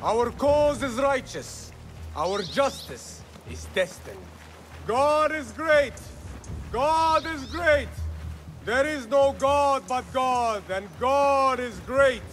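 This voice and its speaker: Fervent high-voiced rebel leader. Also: male voice, lively intonation, takes it slowly, a bit bright, soft background music, full character voice. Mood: fervent, defiant. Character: fervent male rebel leader rallying freed slaves. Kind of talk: dramatic video game acting.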